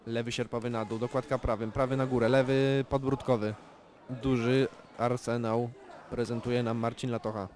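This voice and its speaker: A man giving calm, measured live play-by-play commentary on an amateur boxing bout, with technical analysis of the punches. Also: medium pitch. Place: boxing event venue. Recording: loud crowd in a venue.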